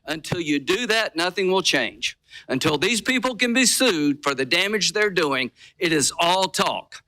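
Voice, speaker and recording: High, bright-voiced male senator. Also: expressive, unhurried, no room sound, rough recording. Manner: emphatic, speech-like declaiming. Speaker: senator speaking at a hearing. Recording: archive hearing clip.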